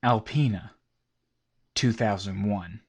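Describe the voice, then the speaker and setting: A man giving measured, documentary-style scripted narration between witness accounts. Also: fairly low pitch, a little hiss or hum.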